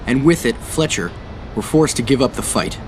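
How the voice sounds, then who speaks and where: An actor playing an earnest young American navy captain, measured and formal, narrating a war game. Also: male voice, medium pitch, expressive, slight background noise, close to an everyday voice, rough recording. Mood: grave, reflective. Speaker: narrator and protagonist.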